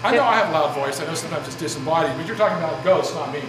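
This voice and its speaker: A man's voice: on the high side, animated, rapid-fire. A man hosting a casual on-location interview in a bantering, joking way. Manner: jokey, bantering. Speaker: host of a paranormal investigation show. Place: inside an old saloon. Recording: echoey room with background noise.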